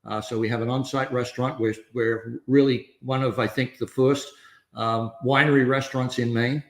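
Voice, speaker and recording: A man who owns a winery, talking as a podcast guest in a halting way, full of fillers and restarts. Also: medium pitch, fairly even intonation, roomy sound. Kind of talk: casual podcast conversation.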